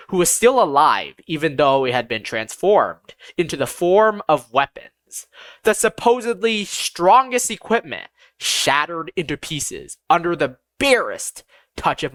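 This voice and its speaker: A narrator reads a first-person fantasy audiobook in a bright, forward voice. The pitch moves in wide, lively swings, and key phrases are slowed for dramatic weight. The sound is dry and close, with very little room around it. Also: dry recording.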